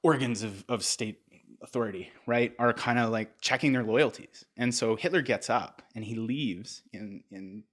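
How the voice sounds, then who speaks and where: A man hosting a podcast, telling history in a casual, animated way, peppered with "like" and "right?". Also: medium pitch, normal speed. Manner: animated, filler-heavy, keeps checking in with "right?". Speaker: podcast host.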